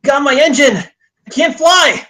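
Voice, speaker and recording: An actor reading an anxious but brave young drone racer in a screenplay, urgent and excitable. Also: high-pitched, takes it slowly, full character voice. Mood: panicked.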